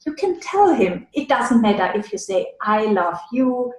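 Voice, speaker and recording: A woman's voice: a little high, big pitch swings, unhurried, echoey room. A woman with a German accent, an online German teacher, answering interview questions casually and explaining with examples. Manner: thoughtful, explains with examples, frequent fillers.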